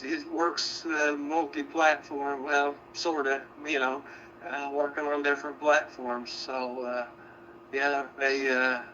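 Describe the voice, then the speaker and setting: Male ham operator, sharp bright tone, halting casual tech chat, muffled lo-fi radio audio. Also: medium pitch. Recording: dull, noisy digital radio transmission.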